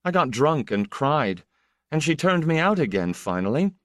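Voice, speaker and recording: A man's voice: on the high side, big pitch swings, moderate pace, no echo, studio quality, voice pushed up. A man voicing an intense, melancholy younger brother, a proud poet who speaks in a restless, confessional, emphatic way.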